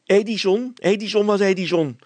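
A man's voice: sits high, normal speed, a little mellow, no room sound, pitched above the performer's own voice, natural voice. A man voicing a scornful, indignant village wife and mother in a storybook reading. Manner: sneering, indignant.

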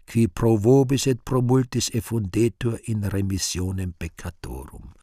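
A man playing a solemn priest in a radio play, intoning the Mass in slow, measured phrases. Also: on the low side, warm tone, breathy edge, no echo, clean studio sound. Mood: solemn, ritual. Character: solemn male priest celebrating Latin Mass.

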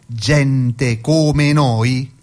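A man reciting a poem on the radio in a slow, rhythmic, emphatic way. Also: mid-range voice, fairly even intonation, darkish tone. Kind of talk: poetry recitation. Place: radio studio.

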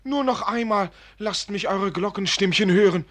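A lovesick young student in a radio fairy tale. The voice is very high and sharp-edged, full of breathless, rapturous pleading. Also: male voice, normal speed.

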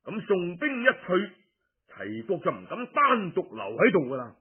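Male storyteller as confident ancient Cantonese war adviser, emphatic, formal, husky, lively swings, storybook style. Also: high voice, normal speed, exaggerated voice. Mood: confident, strategic. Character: bold, confident male nobleman advising his lord on battle. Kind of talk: audiobook storytelling. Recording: muffled phone-like radio recording.

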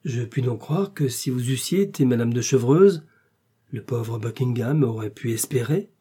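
Man playing romantic duke, very mellow dark timbre, husky, fervent, theatrical, pleading, melancholy, storybook drama. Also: mid-range voice, lively intonation, moderate pace. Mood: yearning. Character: passionate, lovestruck English duke, reckless and melancholy.

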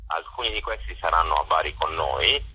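A man's voice: very deep voice, lively intonation, brisk, pushed and bright, slightly rough. A man organizing a photo exhibition, speaking in a radio interview by phone, hesitant with many fillers and restarts. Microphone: phone line. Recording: dull phone line.

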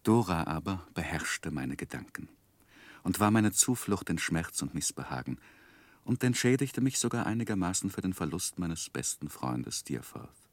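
An actor playing a gentleman who tenderly recalls his infatuated youth, narrating a 1960s German radio drama in a wistful, literary manner. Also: low-pitched, expressive, normal speed, no room sound. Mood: yearning, wistful. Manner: wistful, ornate literary phrasing.

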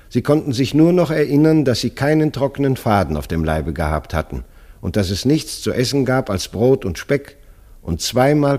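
A man's voice: on the low side, a little mellow. A man narrating a literary radio play as a scripted story.

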